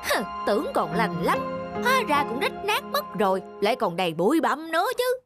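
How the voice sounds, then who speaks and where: A greedy old rich man from a cartoon folktale. He is suspicious and frantic, muttering to himself and exclaiming in a high, very lively voice. Music plays beneath him. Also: moderate pace, exaggerated voice. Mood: grumbling, suspicious. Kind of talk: animated folktale cartoon acting. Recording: music under the voice.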